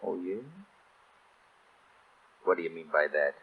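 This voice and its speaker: An actor playing a tough, jumpy small-time crook, with gruff tough-guy slang in an old radio drama. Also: low voice, steady tone, unhurried, exaggerated voice. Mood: wary, tough. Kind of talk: 1930s-style radio drama acting. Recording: tinny old radio broadcast.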